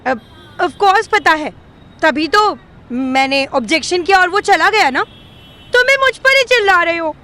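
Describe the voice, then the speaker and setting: Sarcastic young indian girlfriend, really high sharp voice, feisty, audio drama. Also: animated, unhurried, exaggerated voice. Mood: exasperated. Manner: sarcastic, mixes English into Hindi. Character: feisty sarcastic young woman, exasperated with boyfriend. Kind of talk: Hindi audio drama acting. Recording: music behind the voice.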